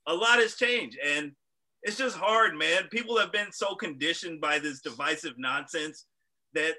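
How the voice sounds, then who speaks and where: A man's voice: on the high side, a little slow, pushed and bright, low-quality recording. A man co-hosting a casual talk show, telling stories bluntly and swearing. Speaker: podcast co-host. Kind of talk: casual opinionated chat.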